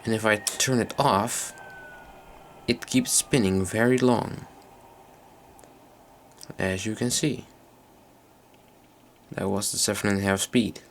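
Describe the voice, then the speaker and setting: Male hobbyist presenter, lowish gravelly voice, casual and hesitant, unscripted show-and-tell on a home-made recording with slight noise. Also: fairly even intonation, takes it slowly, long pauses. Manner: hesitant, thinking aloud.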